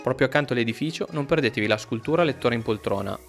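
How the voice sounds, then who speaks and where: A man's voice: medium pitch, racing, vocal fry. A man narrating a travel vlog from a script. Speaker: travel vlogger. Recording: music under the voice. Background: background music.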